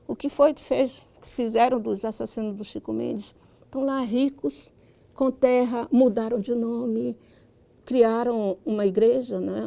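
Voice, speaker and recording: A Brazilian woman speaking reflectively and haltingly at a public talk, often checking in with short rhetorical questions. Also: mid-range voice, gravelly, mellow. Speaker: guest author.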